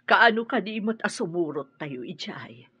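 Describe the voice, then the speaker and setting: A woman's voice: mid-range voice, lively intonation, measured pace, sharp tone, slightly rough, lo-fi sound, full character voice. A woman playing an anxious wife on the run in an Ilocano radio drama, worried and questioning, with an Ilocano accent.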